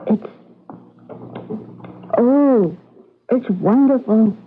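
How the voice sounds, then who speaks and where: A woman's voice: fairly high pitch, very slow. Naive, wonder-struck woman, tinny radio. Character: naive wonder-struck woman discovering a simple old world. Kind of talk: old-time radio drama acting. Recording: thin band-limited radio broadcast, some noise.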